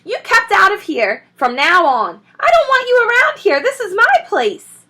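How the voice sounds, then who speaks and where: A woman's voice: very high-pitched, big pitch swings, a little slow, sharp tone, pitched above the performer's own voice, heavily acted. A woman reading a story and voicing an angry, possessive male rat, shrieking with scornful indignation. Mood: furious scolding.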